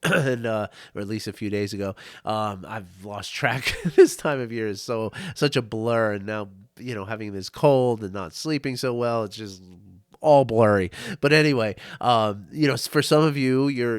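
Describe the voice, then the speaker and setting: A middle-aged man talks off the cuff as a podcast host, friendly and rambling, restarting his words as he goes. A cold leaves him nasal and stuffy, at a middling pitch for a man. The sound is dry and close. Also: dry recording. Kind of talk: casual impromptu monologue.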